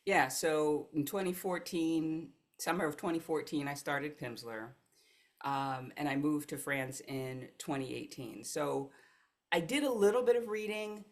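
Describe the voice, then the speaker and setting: A woman's voice: low voice, unhurried. An American woman, a life coach, telling her story as a podcast guest in an earnest, reflective way. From United States. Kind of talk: podcast conversation.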